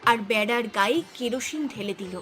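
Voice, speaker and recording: Female narrator, high voice, dramatic scripted storytelling, cartoon tale, faint background music. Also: brisk, fairly bright tone.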